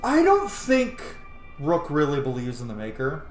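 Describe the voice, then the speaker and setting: Man, thirties, casual gaming commentary, thinking aloud, echoey room, soft game music behind. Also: on the high side, wildly swooping pitch, moderate pace. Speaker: streamer playing a role-playing game. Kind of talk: casual let's play commentary. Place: indoor home room.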